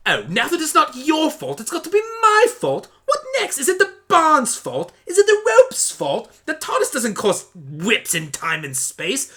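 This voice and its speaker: A man voicing an excitable, brainy male pony time traveler, wordy and theatrical, in an amateur audio drama. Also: very high voice, unhurried, hardly any pauses, voice pushed up, heavily acted. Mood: indignant.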